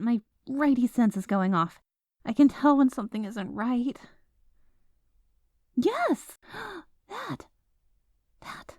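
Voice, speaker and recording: A woman's voice: high voice, dark tone, no room sound. A woman playing a stubborn, sleep-deprived writer girlfriend in an ASMR roleplay, rambling with dramatic, indignant exclamations. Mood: tired, fretful, then excited, delighted.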